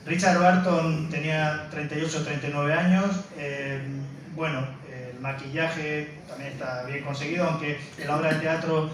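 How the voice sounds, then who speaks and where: A man introduces a film in an informal lecture style, explaining with emphasis. His voice sits a little high. It is recorded from a distance in a very reverberant room. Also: steady tone, moderate pace, hardly any pauses, fairly bright tone. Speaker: film screening presenter. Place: indoor room, presenting to an audience. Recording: very echoey room, distant mic, faint noise.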